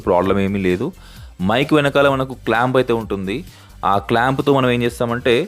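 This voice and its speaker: A man narrates a casual unboxing and demo as the host, explaining in a repetitive way and mixing in English terms. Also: lowish voice. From India. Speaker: product review host.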